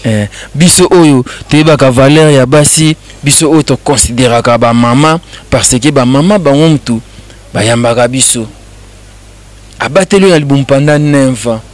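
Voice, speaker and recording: A man's voice: medium pitch, moderate pace. A man hosting a talk show, introducing his guest in a rambling, chatty way. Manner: chatty, rambling, switching languages freely. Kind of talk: casual chat show hosting.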